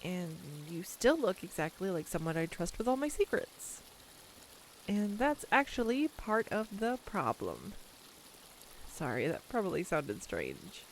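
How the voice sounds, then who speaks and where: A woman playing a slow, wistful returning childhood friend. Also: fairly low pitch, huge pitch swings, a little hiss or hum, poor audio. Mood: tender, nervous. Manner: hesitant, reflective, confiding, with pauses. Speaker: audio roleplay voice actor. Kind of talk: intimate scripted audio roleplay monologue. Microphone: close USB desktop microphone.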